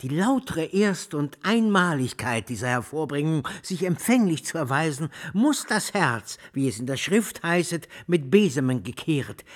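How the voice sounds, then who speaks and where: An actor playing an erudite music lecturer in a radio drama, speaking in ornate, solemn, declamatory phrases with devout fervour. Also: male voice, a little high.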